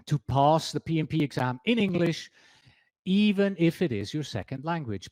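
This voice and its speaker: Swiss German-accented man, exam prep teacher, animated pitch, bone-dry close mic, chatty presenter. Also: no echo, rough recording. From Switzerland. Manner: chatty, self-correcting, reading quotes from slides. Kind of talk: presentation lesson.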